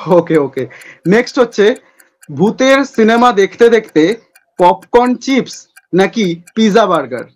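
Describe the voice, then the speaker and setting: A man hosting a playful quick-fire question segment in a chatty interview style. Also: high-pitched, animated.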